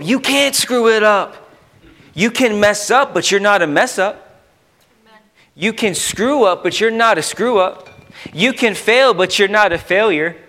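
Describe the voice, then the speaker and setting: A male youth pastor delivers an informal sermon in a fairly high, bright voice. He leans on repeated phrases for emphasis and breaks off into chatty asides to the people listening. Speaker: youth pastor preaching to a congregation. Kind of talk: informal sermon with scripture quotation and exposition.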